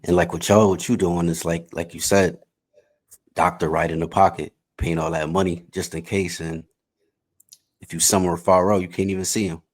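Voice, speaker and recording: A man interviewing a guest on a podcast, answering in a relaxed way with short agreements and questions. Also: deep voice, moderate pace. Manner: laid-back, short agreeing replies and questions. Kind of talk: casual podcast conversation.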